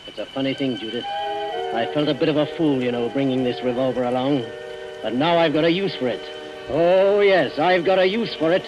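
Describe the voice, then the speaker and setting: A man acting in an old-time radio suspense drama as a desperate, agitated gentleman turned murderer, halting and melodramatic. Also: mid-range voice, muffled recording, music in the background, close to an everyday voice. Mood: menacing, tense. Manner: melodramatic, halting when shaken. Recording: noisy vintage radio, rain behind.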